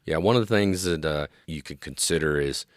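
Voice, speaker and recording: Middle-aged American male interviewee, extremely low voice, earnest expert explainer, close dry recording. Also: brisk, no echo. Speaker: interview guest, police dispatch specialist and former officer.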